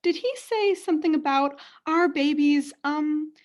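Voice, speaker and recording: Young woman acting a doting mother, very high voice, coy, self-satisfied, theatrical, radio play. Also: a little slow, a little mellow. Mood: coy, probing.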